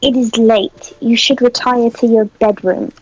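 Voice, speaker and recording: A man's voice: very high voice, fairly even intonation, a little slow, breathy edge, dry recording, full character voice, poor audio. A man voicing a formal, composed young woman in a visual novel, with old-fashioned phrasing.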